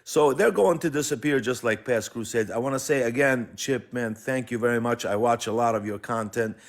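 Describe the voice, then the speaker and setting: A man speaks as a guest caller on a live stream, with a mid-pitched voice. His political talk is emphatic and impassioned, and he repeats phrases to drive a point home. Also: brisk.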